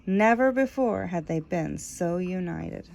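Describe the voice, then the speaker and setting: A woman's voice: fairly low pitch, animated, measured pace, gravelly, a little mellow. A woman reading a children's story aloud expressively, stretching key words for emphasis. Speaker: storybook reader. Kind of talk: expressive read-aloud narration of a children's book, with casual asides.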